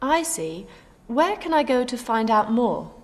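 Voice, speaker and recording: A woman's voice: fairly high pitch, sing-song, a little slow. An actress playing a polite, curious female tourist asking a local about sights. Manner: polite questioning.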